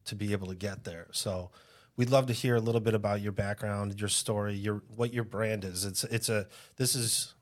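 A man hosting a podcast interview with a fairly low voice. He leads into questions in a friendly, easygoing way, sometimes stumbling over his words and cracking jokes. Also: steady tone. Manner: friendly, joking, a bit halting. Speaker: podcast host. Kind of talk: casual interview chat.

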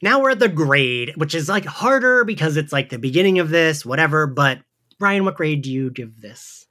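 A man's voice: fairly high pitch, expressive, moderate pace, pushed and bright. A man hosting a casual podcast discussion in a giggly, agreeable manner. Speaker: podcast host leading a discussion. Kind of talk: casual chat.

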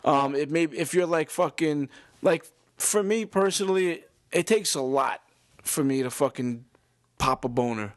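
A man's voice: a little high, a little slow, no echo. A young man with a New York accent, rambling through frank stories in a casual chat and steering the talk.

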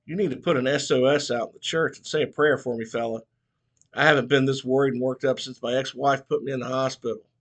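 A man's voice: mid-range voice, steady tone, quick pace, fairly bright tone, close to an everyday voice. A man voicing a skinny, flustered trailer-park man, whiny and worked up.

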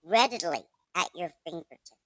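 A woman's voice: animated, moderate pace, no room sound, clean studio sound. A woman chatting casually in a playfully blunt, mock-tough way. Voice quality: squeaky at times. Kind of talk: casual chat.

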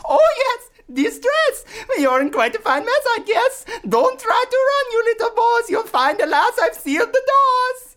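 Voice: extremely high pitch, very animated, unhurried, a bit bright, exaggerated voice. A performer playing a pompous, scheming villain swami in a comic radio play, with theatrical, taunting delivery. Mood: gloating. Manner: theatrical, gloating, rhyming taunts.

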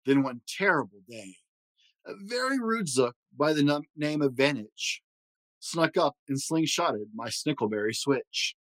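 A man reads a children's storybook aloud as host, his slightly high voice rising and falling freely. The delivery is theatrical and sing-song, with clear emphasis on the rhymes, and the sound is close and dry. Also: animated, unhurried, fairly bright tone, dry recording, rough recording. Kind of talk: animated read-aloud storytelling.

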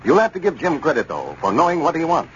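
Animated old-time American radio announcer, male. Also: a little high, fast. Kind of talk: 1930s radio announcing, scripted advertising. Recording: muffled, hissy 1930s radio recording.

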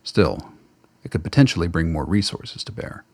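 A man with a gravelly, husky voice reading scripted narration for a radio play. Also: low-pitched, big pitch swings, moderate pace, a little mellow, no echo.

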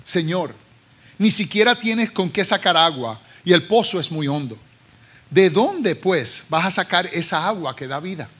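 A woman's voice: fairly low pitch, animated, a little slow, fairly bright tone, husky, heavily acted. A man with a Puerto Rican accent reading the lines of a questioning Samaritan woman at a well, his voice lifted a little.

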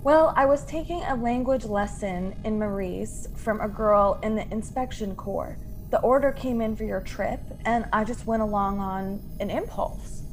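A woman's voice: mid-range voice, normal speed, soft background music, lightly acted. A woman acting in a radio play as a naive, trusting young stowaway, earnest and impulsive, with an innocent delivery. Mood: earnest, curious.

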